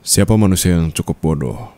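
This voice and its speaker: A man's voice: animated, mellow. A man playing a calculating, coolly dominant mafia boss husband in an intimate ASMR roleplay, speaking in a teasing, smug manner. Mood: calm, calculating. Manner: slow, teasing, smug, with low chuckles and hums.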